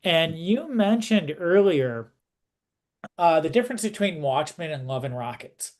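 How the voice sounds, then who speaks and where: A man interviewing someone casually, with a halting, informal and roundabout way of putting things. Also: fairly high pitch, big pitch swings, darkish tone.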